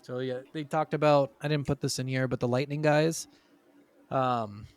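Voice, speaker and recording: A man co-hosting a casual gaming podcast, telling stories from his notes in an animated, chuckling way. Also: medium pitch, moderate pace, clear, resonant tone, no room sound. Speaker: podcast co-host.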